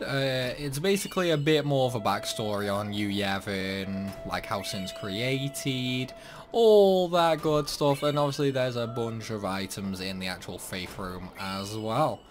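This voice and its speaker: A man's voice: mid-range voice, animated, a little slow, soft background music. A young man casually commenting on his own game playthrough, chatty and self-deprecating. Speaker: gameplay channel host.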